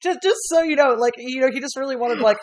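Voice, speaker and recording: A woman's voice: high-pitched, fast, a little hiss or hum. A woman guest on a casual podcast, a history lecturer who rambles through stories with constant fillers, frequent laughs and casual swearing.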